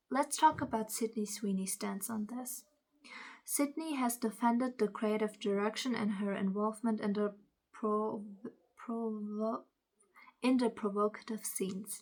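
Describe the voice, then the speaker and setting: A woman who is not a native English speaker, with a non-native accent, giving casual opinion commentary and reading aloud, often stumbling over words and correcting herself. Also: mid-range voice. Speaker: commentary video host.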